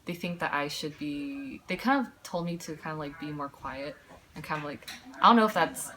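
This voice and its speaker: A young woman student with a low voice, talking casually in an interview and often correcting herself mid-thought. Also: animated, bright, forceful tone, low-quality recording. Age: young adult. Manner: halting, self-correcting.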